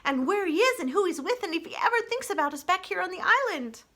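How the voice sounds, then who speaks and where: A woman's voice: very high-pitched, very animated, fast talker, fairly bright tone, voice pushed up, full character voice. A woman voicing a chatty, eager, anxious young female animal in a children's storybook, rambling on breathlessly. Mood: chirpy, eager.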